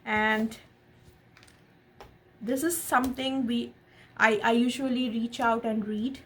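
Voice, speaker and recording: High-voiced woman reading aloud, noisy background. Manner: expressive reading, pausing to explain and ask questions. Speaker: parent reading a picture book aloud to their child. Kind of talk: read-aloud storytelling with casual asides to a child and viewers. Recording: noticeable background noise.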